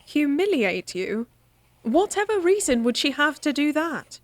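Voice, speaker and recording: A woman playing a candid, devoted lady-in-waiting in a fantasy audio drama, speaking formally with a gently teasing, coaxing manner. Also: high voice, big pitch swings, measured pace, no room sound. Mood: concerned, questioning.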